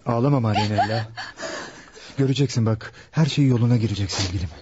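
An actor playing a sober, protective and earnest sweetheart with a husky voice in a dramatic radio play. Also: male voice, on the low side, fast talker, highs cut off, very noisy, lightly acted. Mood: soothing.

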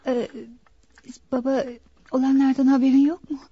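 High-voiced anxious young daughter. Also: female voice, little pitch movement, moderate pace, dark tone, slightly rough, no echo, lo-fi sound. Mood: grieving, hesitant. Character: devoted, frightened young daughter, emotional and fragile. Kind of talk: dramatic radio play acting.

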